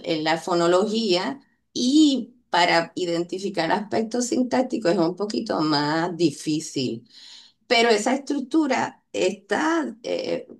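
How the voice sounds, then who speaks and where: A Puerto Rican woman with a slightly low voice talks through her linguistic research at an unhurried pace. She explains carefully, often stalling on fillers and tagging her points with little questions to the listener. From Puerto Rico. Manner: hesitant, frequent "eh" fillers and "¿verdad?" tag questions. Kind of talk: unscripted academic explanation.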